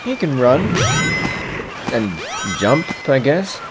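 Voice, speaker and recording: A man's voice: medium pitch, expressive, slow, heavy background noise. A man narrating a scripted, humorous video essay in a wordy, theatrical and sarcastic way. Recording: music behind the voice. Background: background music.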